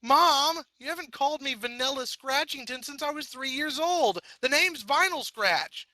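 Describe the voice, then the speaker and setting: A man puts on a high, squealing pony girl, a rough-edged tomboy DJ in a storybook reading who stammers and whines in a panic. the voice is very bright and sharp, recorded close and dry. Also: lively intonation, normal speed, no echo, exaggerated voice. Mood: alarmed. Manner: slangy, stammering in alarm. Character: brash tomboyish young mare DJ, panicky.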